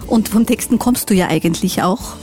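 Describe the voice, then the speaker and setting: A woman's voice: mid-range voice, normal speed, darkish tone, faint music behind. A woman with an Austrian accent, hosting a conversational interview in a radio studio. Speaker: radio host interviewing a musician.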